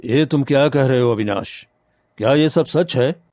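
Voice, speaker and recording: A man's voice: mid-range voice, normal speed, darkish tone, dry recording, clean studio sound, lightly acted. A man voicing an honest, protective poor farmer in a cartoon story, with an earnest, dramatic delivery. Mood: anxious, shocked. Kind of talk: Hindi cartoon story acting.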